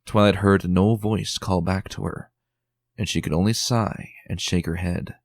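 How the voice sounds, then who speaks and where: A man giving a dramatic, expressive reading of a fan story as narrator. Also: sits low, unhurried, vocal fry, no room sound, clean studio sound. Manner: expressive, tense and theatrical. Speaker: fan reader.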